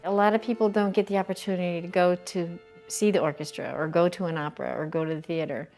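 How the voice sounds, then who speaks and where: A woman's voice: lowish voice, steady tone, fast, a little mellow. An older American woman speaking casually in an interview as a workshop manager. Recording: music playing behind the voice.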